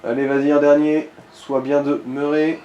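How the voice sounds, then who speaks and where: A man's voice: on the high side, level tone, normal speed, mellow, dull audio. A man chatting casually as he co-commentates a video game, giving informal, slangy step-by-step directions to the player. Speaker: let's play co-commentator guiding the player. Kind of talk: casual gaming chat. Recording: roomy echo with noticeable background noise.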